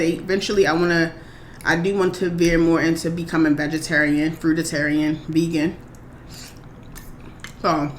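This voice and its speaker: A woman in her thirties from Maryland, chatting casually and rambling through viewer questions on her eating show. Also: fairly low pitch. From Maryland, USA. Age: 30s. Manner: rambling, chatty, relaxed, with many fillers. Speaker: mukbang vlogger. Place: home. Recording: echoey room, faint background noise.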